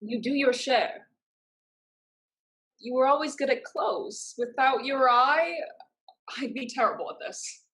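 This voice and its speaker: A woman's voice: high-pitched, unhurried, long pauses, a bit bright. A woman acting in a stage play reading as a proud, streetwise young woman who is protective of her sister. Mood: encouraging, firm.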